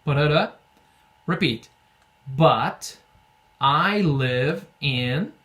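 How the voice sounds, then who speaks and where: A young Brazilian man teaches an online English class, walking students through phrases with patience. He slows way down to model each phrase for repetition. His voice sits a little high for a man. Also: animated, fairly bright tone. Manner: patient, explains slowly, drills phrases for repetition. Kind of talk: online language lesson.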